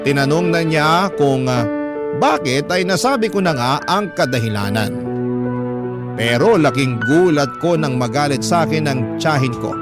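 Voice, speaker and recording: Higher-pitched male storyteller over music. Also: expressive, normal speed. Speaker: radio drama storyteller. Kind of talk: scripted first-person letter narration. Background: music bed.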